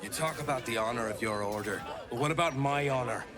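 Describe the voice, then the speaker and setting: An actor playing a stubborn, plain-spoken debt enforcer and loyal brother in a video game. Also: male voice, mid-range voice, brisk, lightly acted. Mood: defensive. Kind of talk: video game character acting. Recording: music and crowd behind.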